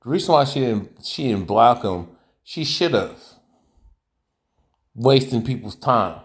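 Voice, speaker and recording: A man's voice: medium pitch, animated, slow. Blunt ranting male streamer. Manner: blunt, scolding, repeats and restarts words. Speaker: reaction streamer. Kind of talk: ranting commentary over a played clip.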